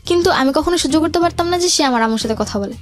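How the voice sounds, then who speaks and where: A woman with a Bangladeshi accent recounts her life story as a radio guest. Her voice is electronically altered and sits fairly high, and she talks very quickly, slipping in English words, over faint music. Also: lively intonation. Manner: quotes remembered conversations, mixes in English words. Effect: voice altered to hide identity. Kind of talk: personal storytelling in radio interview.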